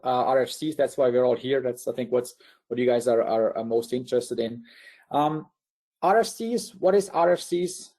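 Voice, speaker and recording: Mellow man with a Swiss accent. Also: mid-range voice. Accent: Swiss German. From Liechtenstein. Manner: conversational, many fillers and restarts. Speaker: webinar presenter. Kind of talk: presenting a technical webinar.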